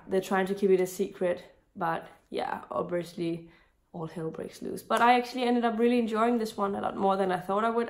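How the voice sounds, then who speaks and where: A woman's voice: mid-range voice, creaky. A young woman in her twenties with a voice strained by a cold, chatting casually about books in a home vlog, rambling with frequent restarts. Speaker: book vlogger. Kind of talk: casual book review vlog. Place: home room with bookshelves, indoors.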